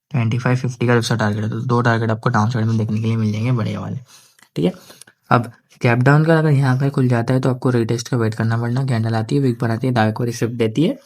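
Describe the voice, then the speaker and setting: Man, sore throat, slow relaxed delivery, casual market talk. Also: medium pitch. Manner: conversational, repeats filler "theek hai". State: sore throat, speaking slowly. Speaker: stock market analyst. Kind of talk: casual trading analysis to viewers.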